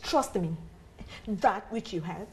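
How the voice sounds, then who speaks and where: An actress playing an excitable, indignant young woman in a film drama, emphatic and theatrical, repeating herself for effect. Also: medium pitch, slow, a little hiss or hum. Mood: indignant, scornful.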